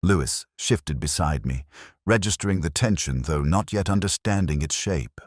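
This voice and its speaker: Male narrator, very low pitch, lively intonation, solemn measured delivery, detective story reading. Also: dry recording, clean studio sound. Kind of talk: scripted first-person detective story narration.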